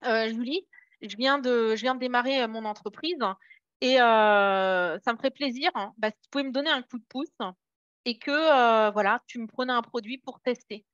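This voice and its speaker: A woman's voice: fairly high pitch, moderate pace, a bit bright, no room sound, lo-fi sound. A woman leading a casual motivational training session for her sales team, encouraging and hesitant, with many fillers and restarts. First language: French. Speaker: network marketing team trainer.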